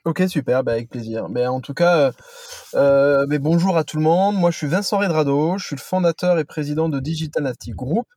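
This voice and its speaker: A man's voice: fairly high pitch, brisk, darkish tone, no echo, studio quality. A man in his thirties from Toulouse with a southern French accent, chatting as a guest from a parked car, hesitant with lots of fillers. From Toulouse, southern France. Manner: hesitant, many fillers and restarts. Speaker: guest, company founder. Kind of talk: casual interview chat.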